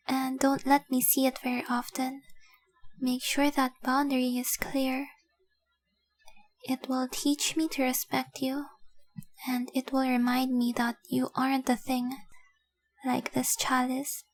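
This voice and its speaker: A high, slow voice of a woman playing a young dragon girl in a romantic audio roleplay. She is devoted and tenderly possessive, speaking with intimate, earnest care and keeping her melody very even. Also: low-quality recording. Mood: earnest, pleading. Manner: earnest, intimate, deliberate, with breathy whispered phrases.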